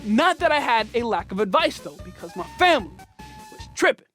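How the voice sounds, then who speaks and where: A man's voice: very high-pitched, sing-song, brisk, bright, forceful tone, faint music behind. A young man telling a comedic personal story in a playful, slangy, punchy way, as host of his channel.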